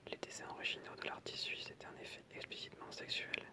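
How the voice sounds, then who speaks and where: Asmr creator whispering the whole time, reading stuff out with casual little asides. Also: fast talker. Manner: soft whispering, informal and hesitant in asides.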